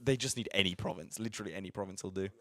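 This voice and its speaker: A man's voice: deep voice, rapid-fire, fairly bright tone. An English man co-commentating a live strategy game stream, explaining things plainly and dropping wry jokes. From southern England. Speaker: livestream co-commentator.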